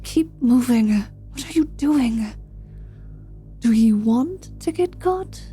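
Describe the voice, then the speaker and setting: A woman's voice: fairly high pitch, lively intonation, takes it slowly, mellow, faint music behind. A woman playing a feisty, sardonic female spellcaster in an intimate fantasy ASMR roleplay. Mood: wary, teasing. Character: feisty, foul-mouthed female adventuring spellcaster, half vampire, sardonic.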